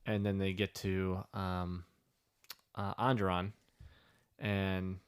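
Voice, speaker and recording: Low-voiced man, easygoing podcast co-host. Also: level tone, clean tone. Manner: easygoing, agreeable, quick to chime in. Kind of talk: casual fan recap chat.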